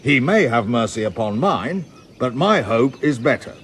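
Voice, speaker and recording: An actor playing a boisterous, scheming knight in a Shakespearean comedy, speaking in a booming, mocking manner. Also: male voice, very animated, moderate pace, a bit bright, a little hiss or hum. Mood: mock-grand. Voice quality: booming and mocking, with hearty laughter. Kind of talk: Shakespearean stage acting.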